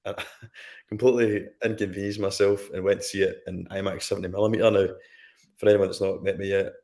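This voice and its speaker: A man from Scotland with a Scottish accent, a guest on a film podcast, telling a story in a relaxed conversational way with asides. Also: low voice, fast, gravelly. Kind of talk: casual chat on a live stream.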